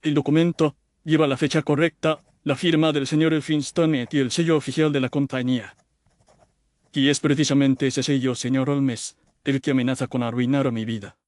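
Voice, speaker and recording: A man's voice: mid-range voice, level tone, normal speed, a little mellow, no room sound, voice pushed up, close to an everyday voice. A man voicing a humble, anxious young insurance clerk, formal and deferential, in an audio detective story. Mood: anxious, earnest.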